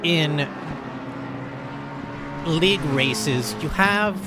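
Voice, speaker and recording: A man's voice: on the high side, extremely slow, over loud music. An American man giving casual, chatty commentary on a sim racing qualifying session. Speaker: race commentator. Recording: loud game engine noise behind.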